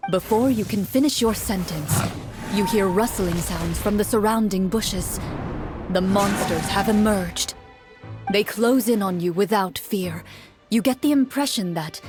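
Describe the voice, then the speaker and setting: A woman voicing a female game master who narrates a tabletop adventure in measured second-person storytelling, in video game voice acting. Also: medium pitch, music in the background, lightly acted.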